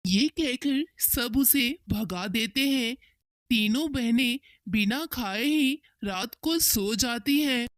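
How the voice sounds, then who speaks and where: A woman narrates a scripted cartoon tale. Her voice is high, and she takes the story slowly, in a dry recording with no room sound. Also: expressive, fairly bright tone, dry recording.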